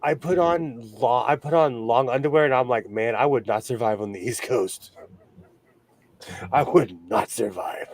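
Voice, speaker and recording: A man's voice: mid-range voice, normal speed, darkish tone. A man hosting a livestream in casual, jokey chat, often breaking off and restarting his stories. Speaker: host of a sewing livestream.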